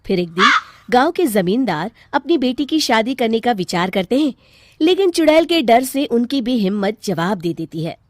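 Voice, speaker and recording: A woman's voice: on the high side, very animated, normal speed, no room sound, clean studio sound. A woman narrating a scripted children's story as the storyteller.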